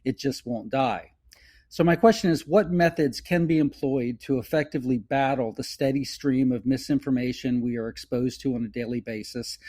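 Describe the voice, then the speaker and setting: Mellow-voiced man leaving a voicemail question. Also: mid-range voice, no room sound, studio quality. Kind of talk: prepared, formally worded question. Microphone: voicemail message recorded remotely.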